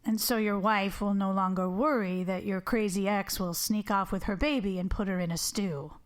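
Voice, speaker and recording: A woman's voice: mid-range voice, fairly even intonation, normal speed, close to an everyday voice. An actress playing a wounded, guarded woman with a terse, dry, sarcastic manner in a naturalistic audio drama. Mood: hurt, confrontational. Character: guarded, wounded, sardonic woman rebuilding her life. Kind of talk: naturalistic radio drama acting.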